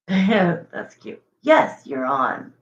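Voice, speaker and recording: A woman with a raspy voice doing a casual livestream tarot reading, chatting and thinking aloud between viewer questions. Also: fairly low pitch, very animated, drawn-out words. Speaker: tarot reader. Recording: echoey, dull lo-fi room sound.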